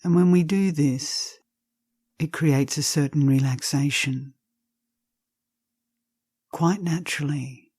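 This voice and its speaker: A woman leading a guided meditation, speaking gently and softly in unhurried phrases with long pauses, with some breathiness. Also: sits low, husky, no room sound, studio quality. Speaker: meditation teacher.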